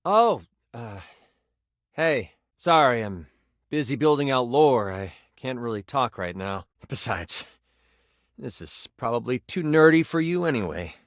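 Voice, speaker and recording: A man's voice: medium pitch, sing-song, a little slow, no room sound, clean studio sound. An actor voicing a personified gaming die as a nerdy, earnest, awkward man who stammers and gets theatrical, in video game style. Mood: distracted, dismissive. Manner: hesitant, stammering, effusive, theatrical gamer talk.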